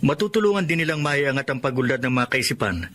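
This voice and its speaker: A man's voice: medium pitch, expressive, fast, fairly bright tone, close to an everyday voice. An actor playing an enthusiastic, idealistic young male village teacher in a Filipino radio drama, speaking earnestly. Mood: earnest, uplifted.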